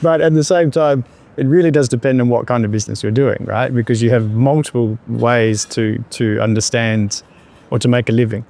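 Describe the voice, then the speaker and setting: A man, answering interview questions in a reflective, unhurried way with pauses and fillers. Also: mid-range voice, steady tone, gravelly, darkish tone. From Australia. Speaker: entrepreneur guest.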